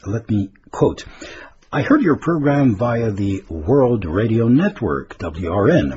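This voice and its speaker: A man presenting radio listener mail in a chatty, hesitant, meandering way, adding asides as he reads. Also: fairly low pitch. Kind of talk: informal listener-mail segment, reading and commenting. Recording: dull lo-fi radio recording, faint noise.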